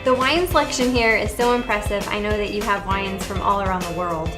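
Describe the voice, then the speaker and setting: A woman's voice: mid-range voice, fairly quick, over music. A woman hosting a lifestyle TV show, interviewing chefs with enthusiastic, bubbly praise. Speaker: host.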